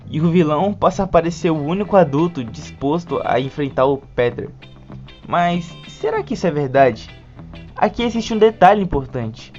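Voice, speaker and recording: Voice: medium pitch, a little mellow. Brazilian Portuguese accent, video essay narrator, scripted but informal, muffled lo-fi recording, faint music underneath. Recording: dull lo-fi sound, music behind. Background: background music.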